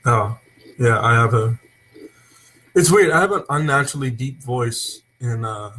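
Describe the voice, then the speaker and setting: A young man hosting a casual wrestling talk show, opening with loud hype and then rambling with fillers. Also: mid-range voice, unhurried, husky, rough recording. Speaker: host. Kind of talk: casual wrestling podcast chat.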